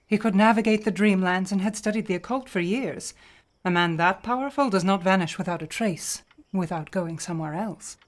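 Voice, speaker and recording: An earnest, stubborn older woman scholar of the occult played by an actress in a radio play. She is firm and pointed, articulate, with a mid-pitched voice. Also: normal speed, lightly acted. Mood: convinced.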